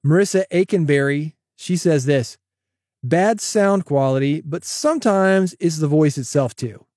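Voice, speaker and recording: A man's voice: fairly high pitch, measured pace, no echo. Upbeat man podcasting, dry studio sound. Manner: energetic, coaching, direct address. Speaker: podcast host. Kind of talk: solo podcast advice with sponsor read. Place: home studio, processed dry voice. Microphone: studio broadcast dynamic mic.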